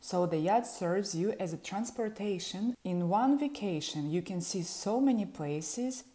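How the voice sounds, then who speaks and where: A woman's voice: on the low side, mellow. A woman in her thirties from Ukraine with a Ukrainian accent, a yacht charter specialist speaking friendly and explanatory to camera in a small room at home through an earphone mic. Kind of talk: informative vlog talk to camera. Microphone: earphone mic, webcam framing.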